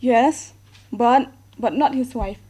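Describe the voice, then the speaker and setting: High-voiced brisk woman clerk. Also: warm tone, slightly rough. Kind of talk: comedy series acting.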